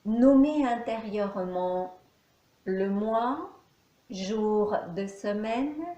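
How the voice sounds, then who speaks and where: A woman teaching yoga nidra, guiding a meditation calmly in short, measured phrases with pauses between them. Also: mid-range voice. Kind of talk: guided meditation, calm instruction. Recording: echoey, reverberant room.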